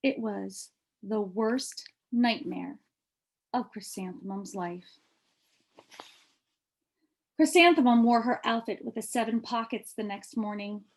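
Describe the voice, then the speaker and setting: A woman reads a children's story aloud at a very leisurely pace. Her voice sits a little high, and her delivery is expressive and deliberate, shaped for a picture book. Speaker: storybook reader.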